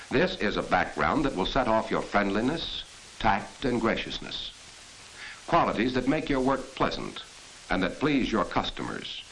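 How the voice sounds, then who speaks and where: Low-voiced 1940s training film narrator, animated man. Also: low-quality recording. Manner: earnest, instructive, addressing the viewer directly. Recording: hissy 1940s film soundtrack.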